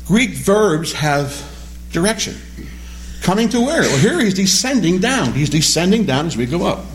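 A man teaching a Bible study class, animated and questioning, repeating key words as he explains them. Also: high voice, normal speed. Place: classroom or church hall with a small group.

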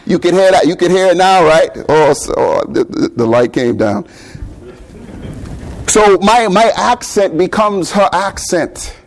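A man's voice: high voice, expressive, measured pace, rough recording. A man in his thirties with a Bahamian accent, preaching a sermon in a conversational way, asking rhetorical questions and often repeating words as he goes.

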